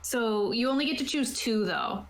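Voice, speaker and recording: A woman's voice: on the high side, expressive, normal speed, reverberant, poor audio. Female game master, casual and chatty, explaining rules on an online call. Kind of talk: casual tabletop game prep, reading rules aloud. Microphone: own microphone on an online voice call.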